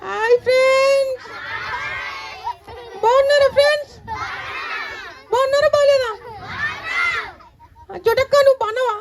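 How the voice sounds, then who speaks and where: Casting: a tiny, hungry, whining puppet kid, piercingly high, bantering at a kids' show with light crowd noise behind. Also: fairly even intonation, a little slow, long pauses. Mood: excited greeting. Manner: pleading, repetitive, calls out to the children. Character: hungry, chatty, whining child puppet named Cherry.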